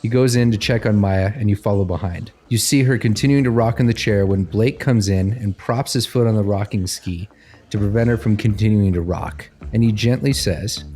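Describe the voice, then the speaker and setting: Low-voiced man narrating a scene. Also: normal speed, warm tone. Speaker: game master narrator. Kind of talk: second-person scripted scene narration.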